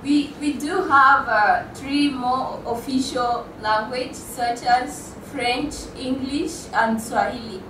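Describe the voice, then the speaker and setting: A young woman from Rwanda, speaking English as a second language after Kinyarwanda, gives a slow, careful talk with many pauses. Her voice is fairly high, and she sounds a little distant from the mic. A roomy hall echo and a steady hiss sit behind her. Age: young adult. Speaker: student speaker. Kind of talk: prepared speech at a college event. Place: stage in a college hall. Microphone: stand mic in front of her. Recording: echoey hall, from a distance, with hum.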